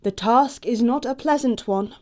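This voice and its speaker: A woman's voice: a little high, moderate pace, darkish tone, lightly acted. An actress playing a self-assured young society lady in a stage comedy, poised and formal. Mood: lofty, decided. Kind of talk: theatrical stage comedy acting.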